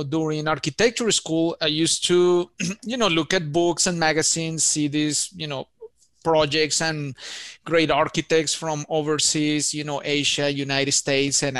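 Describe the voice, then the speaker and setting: A man's voice: on the high side, fairly bright tone, clear, resonant tone, no echo. A man in his forties from Colombia with a Colombian Spanish accent, telling his story as an architect in an interview in an enthusiastic, friendly way. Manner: friendly, enthusiastic, says man and you know often. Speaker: architect and podcast guest.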